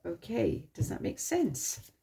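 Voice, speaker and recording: A woman with a slightly hoarse voice from a sore throat, giving a reassuring tarot reading straight to the viewer and often restarting her phrases. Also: mid-range voice, very animated, takes it slowly, mellow, a little hiss or hum. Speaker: tarot reader.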